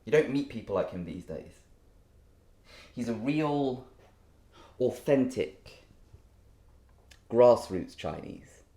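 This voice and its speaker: A man's voice: medium pitch, lively intonation, takes it slowly, long pauses, warm tone. An actor playing an earnest, defensive man in a bickering romantic couple, speaking in an understated, naturalistic stage drama style. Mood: earnest, passionate.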